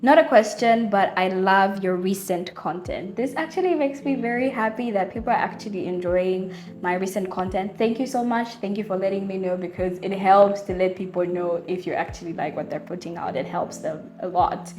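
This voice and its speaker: A young woman with a Zambian accent chats to camera, answering viewer questions in a lively, friendly way. Her voice sits at a middle pitch, and she often breaks into laughter. Faint music plays underneath. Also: moderate pace. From Zambia, Copper Belt. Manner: chatty, animated, laughs often. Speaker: vlogger. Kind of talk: casual Q&A to camera. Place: home room lit by daylight.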